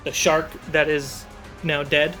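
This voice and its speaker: Game master talking over clear background music. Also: mid-range voice, little pitch movement, slow pace, pushed and bright. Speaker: game master and show host. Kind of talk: narrating a tabletop fantasy game.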